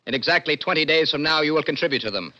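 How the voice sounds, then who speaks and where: A stern, forceful young military judge. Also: male voice, fairly high pitch, expressive, racing. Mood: cold, unbending. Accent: American. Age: young adult. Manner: cold, formal, clipped pronouncements. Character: stern young lieutenant judge of a dystopian court. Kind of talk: 1960s radio drama acting. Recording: dull old-time radio recording.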